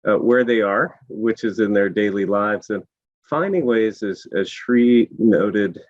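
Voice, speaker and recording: A hoarse man with a low voice speaks on a panel, his voice worn down. His phrasing is measured and polished. The audio is dull and lo-fi. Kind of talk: panel discussion.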